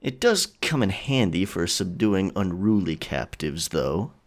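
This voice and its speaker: A man plays a roguish pirate captor with a low voice that swings widely in pitch. He takes his time, teasing and smug, as in a romantic audio drama. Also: sing-song, a little slow. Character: mischievous, taunting male pirate captor, roguishly playful.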